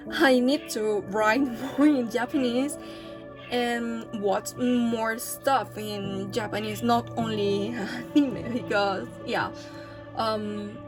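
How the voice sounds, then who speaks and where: A young woman who is not a native English speaker talks slowly and casually, pausing often with fillers and little restarts. Her voice sits a little high. Clear background music runs beneath her speech. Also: low-quality recording. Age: young adult. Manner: hesitant, many fillers and restarts, soft laughs. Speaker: language-learning vlogger. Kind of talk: casual vlog talk.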